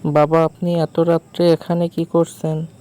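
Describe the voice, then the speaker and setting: A man playing a village husband who recounts an eerie night in a polite, uneasy, measured way for a cartoon story. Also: a little high, monotone, warm tone, close to an everyday voice. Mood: polite, respectful. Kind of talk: first-person cartoon story narration.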